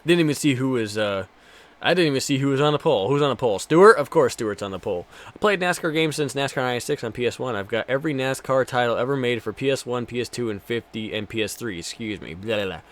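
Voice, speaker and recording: A man's voice: medium pitch, fast. Foul-mouthed young man streaming a game. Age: twenties. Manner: foul-mouthed, jokey banter with viewers. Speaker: streamer playing a racing game. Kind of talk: casual live gaming commentary.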